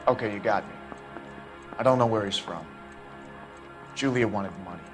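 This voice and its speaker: Man acting a low-voiced, evasive businessman. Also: moderate pace, over music, close to an everyday voice. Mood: uneasy, evasive. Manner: guarded, polite, hedging. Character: evasive, smooth-talking clothing businessman under police questioning. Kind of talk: dramatic interrogation dialogue.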